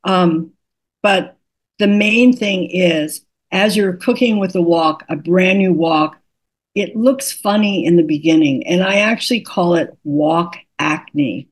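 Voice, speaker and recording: An older woman, a cookbook author, patiently explaining cooking tips in an interview, her voice slightly raspy today. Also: lowish voice, slow pace. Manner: patient, instructive, methodical.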